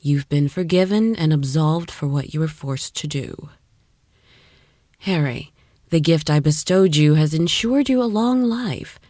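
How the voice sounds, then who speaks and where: A woman playing a patient, knowing warrior angel in an audio drama, speaking in a measured, formal way. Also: low voice, warm tone, dry recording, studio quality. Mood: gentle, earnest.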